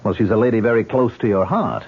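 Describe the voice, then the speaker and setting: An actor playing a tormented man who hears ghost voices in an old radio drama, agitated and husky. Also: fairly low pitch, fast talker. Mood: tense. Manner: agitated, swinging between calm and outbursts. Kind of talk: 1970s radio drama acting. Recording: muffled lo-fi old radio broadcast.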